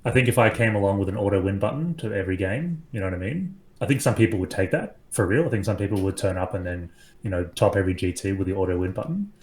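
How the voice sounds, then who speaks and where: An Australian man chats as a podcast guest. His voice sits on the low side and lifts when he gets enthusiastic. He talks casually, with loose fillers and the occasional swear. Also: fast, darkish tone.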